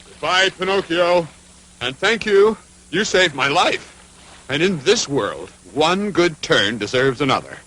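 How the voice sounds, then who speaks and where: Loyal cartoon hound: husky, bright, high, heartfelt and drawn-out. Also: male voice, sing-song, exaggerated voice, rough recording. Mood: grateful. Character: big dog, excitable, grateful, loyal. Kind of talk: dubbed cartoon acting.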